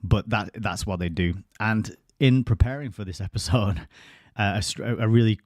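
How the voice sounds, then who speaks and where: A man hosts his own live stream, talking loosely and conversationally and dropping wry asides along the way. His voice sits fairly low, and the close desk microphone gives a dry sound with no room echo. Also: normal speed. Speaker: host of a live-streamed commentary show. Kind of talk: solo live-stream commentary.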